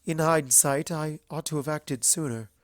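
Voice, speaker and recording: A narrator voicing a regal, guarded older female alicorn mentor in a story, speaking formally with hesitant, measured phrasing. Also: medium pitch, no room sound, studio quality, voice pushed up, lightly acted. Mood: regretful.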